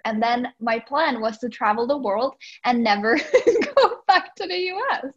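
A woman with a Czech accent, a life coach and podcast guest, speaking excitedly and laughing often. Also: on the high side, highs cut off. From Czech Republic. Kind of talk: podcast interview.

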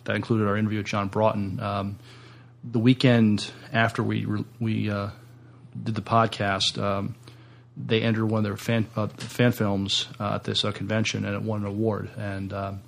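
A man's voice: lowish voice, little pitch movement, moderate pace, vocal fry. A man co-hosting a podcast in casual chat, speaking hesitantly and often repeating words. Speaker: podcast co-host.

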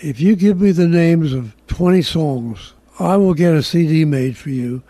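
A man's voice: a little high, a little slow, dark tone, dry recording. A man in his nineties casually reminiscing as an interview guest. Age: 90s. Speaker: interview guest, amateur senior-circuit singer.

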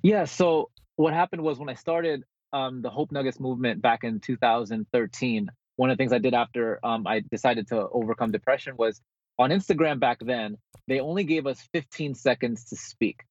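A man's voice: mid-range voice, normal speed, husky, dry recording, highs cut off. A man from New Jersey, born in the Philippines, speaking as a motivational guest in a conversational interview over a remote call. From born in the Philippines, grew up in New Jersey.

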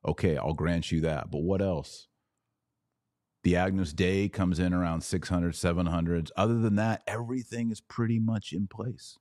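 A man's voice: low voice, steady tone, normal speed, darkish tone, no echo, clean studio sound. A man hosting a live podcast, reading viewer comments and giving emphatic, opinionated commentary with rhetorical questions. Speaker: podcast host.

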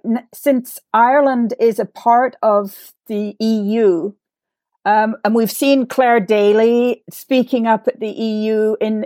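A woman from England co-hosts a podcast, earnest and chatty, with a somewhat high voice. Her speech is sprinkled with "like" and "um", and the recording is dry and close. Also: no room sound. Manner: warm, earnest, uses like and um. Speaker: podcast co-host. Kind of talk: interview chat.

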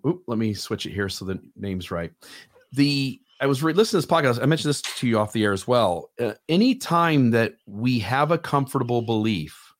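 Man, mid-pitched, casual podcast host, rambling restarts. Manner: conversational, restarts, quotes others animatedly. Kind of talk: casual chat.

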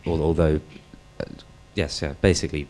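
A man's voice: extremely low pitch, steady tone, normal speed, noisy background. A man in the audience asking a question at a public debate, hesitant and full of fillers.

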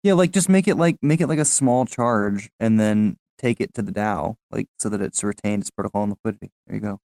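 A man's voice: on the low side, a little fast, a little mellow, dry recording. Blunt, jokey man in a dry recording. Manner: blunt, swears casually, jokey. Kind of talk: casual chat.

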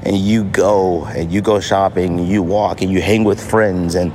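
A man's voice: low voice, steady tone, moderate pace. An American man hosting a podcast in a casual solo monologue, repeating phrases for emphasis. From United States. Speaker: podcast host. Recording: noisy outdoor city street ambience. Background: street noise, traffic, banging.

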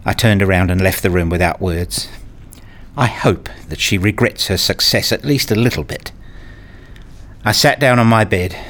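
A man's voice: lowish voice, fairly bright tone, natural voice. A man playing a frustrated, pleading father who tells his own life in a radio play, wordy and earnest. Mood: dejected. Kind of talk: first-person radio play narration and dialogue.

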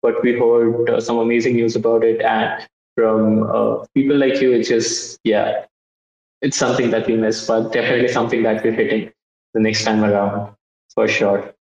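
A man hosting a casual online chat for his project team, laid-back and halting as he talks. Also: fairly low pitch, flat delivery, vocal fry, a little mellow. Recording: very echoey, dull lo-fi sound.

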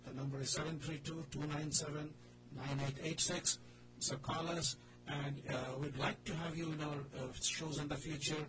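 A man's voice: fairly high pitch, fairly even intonation, moderate pace. A man with an Indian accent hosting a loose, informal radio talk show in a hesitant, discursive way. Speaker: radio drama club host. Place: radio studio with a group in the room. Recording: noisy radio recording with hum.

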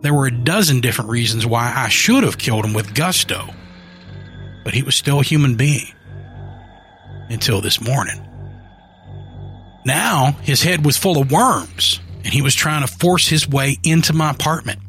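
A man's voice: medium pitch, lively intonation, normal speed, long pauses, a bit bright, soft background music. Man reading a horror story. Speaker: narrator.

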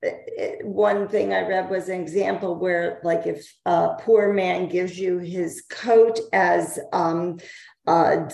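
Slow, gravelly-voiced woman in an echoey room. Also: fairly low pitch, steady tone, darkish tone. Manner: hesitant, with many fillers and pauses. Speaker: study group participant. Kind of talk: casual discussion.

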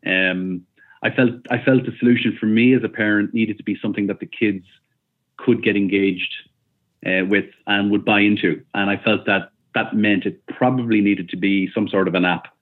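A man's voice: lowish voice, steady tone, moderate pace. Hesitant man over a tinny call line. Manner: thoughtful, hesitant, many ums and restarts. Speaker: guest, company founder. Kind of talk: casual interview answers. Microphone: remote call. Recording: tinny phone-like call audio.

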